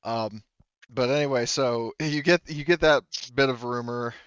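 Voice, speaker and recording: A man's voice: mid-range voice, a little slow, a bit bright, smooth, no echo, lo-fi sound. A man acting as game master, narrating a tabletop roleplaying game in an informal, conversational way. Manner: conversational, informal, occasionally swearing.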